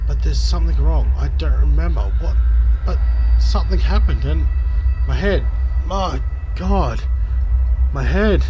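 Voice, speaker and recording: A man's voice: fairly high pitch, mellow, highs cut off, a little hiss or hum. A man hosting a casual game playthrough, chatting to viewers with some swearing and reading the story aloud dramatically. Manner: profane, chatty, addresses viewers directly. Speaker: gaming video host.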